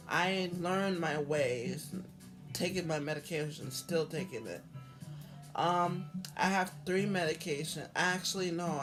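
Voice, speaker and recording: A hoarse woman vlogging about her own life in a halting, earnest way, repeating herself and restarting often. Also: lowish voice. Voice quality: halting, repetitive, with many restarts. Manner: earnest, heartfelt. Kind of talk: personal talk to camera.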